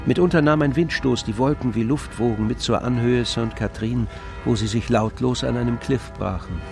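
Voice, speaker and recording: A man reads scripted literary narration for a radio play. His voice sits at a middle pitch and moves in deliberate, measured phrases, with faint music underneath. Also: creaky.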